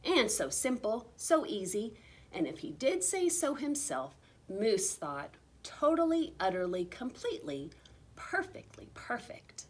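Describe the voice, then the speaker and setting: Lady reading a kids picture book, slow and really expressive, bit of background noise. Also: a little high. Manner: theatrical, drawn-out emphasis on repeated words. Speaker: reader of a children's storybook.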